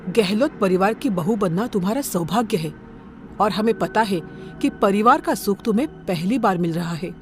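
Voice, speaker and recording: A woman's voice: mid-range voice, expressive, normal speed, soft background music, close to an everyday voice. A woman playing a cold, controlling middle-aged mother-in-law who speaks in a commanding way. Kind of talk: Hindi audio story acting.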